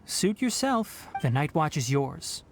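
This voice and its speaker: A woman playing a composed young forest scholar in an anime game, speaking in a measured, articulate and earnest way. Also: sits low, sing-song, noisy background, heavily acted. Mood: gentle. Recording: music behind the voice. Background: background music.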